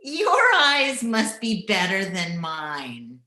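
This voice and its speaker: A woman playing a wry, plainspoken, slangy member of the household in an old-time radio drama, dry and deliberate. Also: medium pitch, wildly swooping pitch, pushed and bright, reverberant, a little hiss or hum, exaggerated voice. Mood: dry, skeptical.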